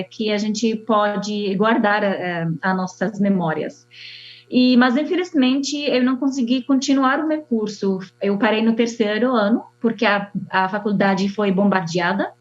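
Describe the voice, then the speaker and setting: A young woman from Syria with an Arabic accent, an architect telling her story slowly and haltingly, with many fillers. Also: mid-range voice. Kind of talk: personal testimony. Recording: dull lo-fi sound.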